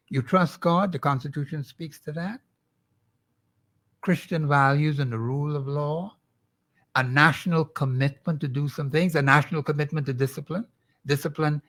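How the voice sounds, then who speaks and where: Bahamian male guest, earnest and exhorting, slightly high voice; show commentary. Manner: earnest, exhorting, rhetorical questions. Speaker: guest professor.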